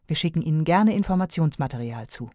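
A woman reading a radio station promo, spelling out contact details slowly and deliberately. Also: low voice, lively intonation, darkish tone, no room sound, clean studio sound. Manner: slow, deliberate spelling of web address and phone number. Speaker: station announcer.

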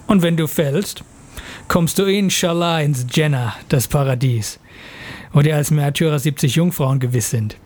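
A man's voice: a little high, normal speed, a little mellow, lightly acted. A man playing a fanatical Islamist recruiter in an audio drama, preaching in a solemn, declamatory way. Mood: solemn, promising. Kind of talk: scripted audio drama monologue.

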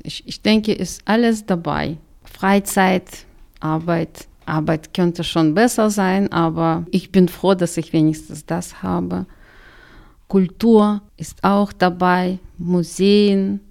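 A middle-aged woman speaks German with a Russian accent. She talks slowly, in a somewhat low voice with a gravelly edge. She answers carefully and leans on repeated words for emphasis. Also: fairly even intonation, a little mellow. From Kazakhstan. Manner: careful, repeats "sehr, sehr" often. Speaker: interviewee, migrant community worker. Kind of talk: radio interview answers.

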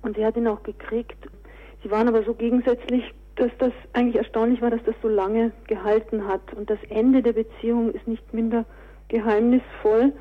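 A woman's voice: a little high, level tone, a little fast, phone-quality sound. A woman answering interview questions conversationally as an author and director, on a thin radio recording.

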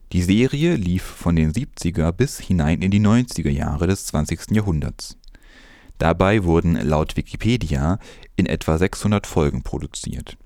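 Deep-voiced man reading the news. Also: a little mellow, dry recording, studio quality. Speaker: news presenter. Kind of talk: scripted news narration.

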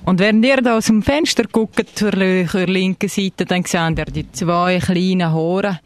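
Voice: medium pitch, a little slow, dry recording, lightly acted. An actor playing a folksy female tour guide with a Swiss German accent, addressing tourists in a live radio play. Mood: folksy, welcoming.

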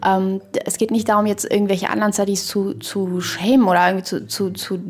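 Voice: medium pitch, level tone, a little fast. A podcast co-host in casual conversation, speaking emphatically and repeating words while reaching for the next one.

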